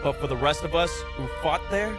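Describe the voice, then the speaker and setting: A man's voice: a little high, brisk, lightly acted. A man playing a loyal, battle-hardened, earnest soldier in a dramatic comic dub. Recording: loud music over voice.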